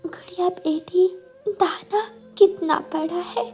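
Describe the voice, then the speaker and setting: Woman acting a high, frail ailing mother bird. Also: dark tone. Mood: weak, tender. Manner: weak, slow, pleading delivery. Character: sick, dying mother bird, tender and pleading. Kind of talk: Hindi cartoon character acting.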